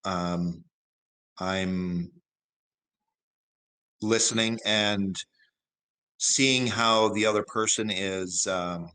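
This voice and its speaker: A man in his forties with a big, carrying voice, a former broadcaster, talking thoughtfully in a casual interview with many pauses and restarts. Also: low voice, steady tone, bright, forceful tone, poor audio. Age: 40s. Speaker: guest.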